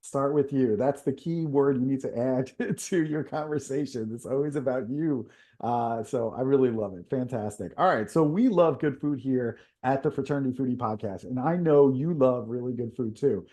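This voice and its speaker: A man's voice: medium pitch, normal speed, warm tone. A man hosting a podcast interview in a casual, upbeat way, often laughing and praising his guest. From Israel. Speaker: podcast host.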